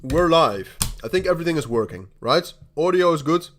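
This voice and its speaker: A man's voice: on the high side, expressive, fairly quick, a bit bright, slight background noise. Young dutch guy on a live stream, rambly, laughs a lot, keys clacking behind him. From Netherlands. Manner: rambling, laughs often, many repeated starts, casual swearing. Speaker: crypto livestream host. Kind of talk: casual livestream chat while trading.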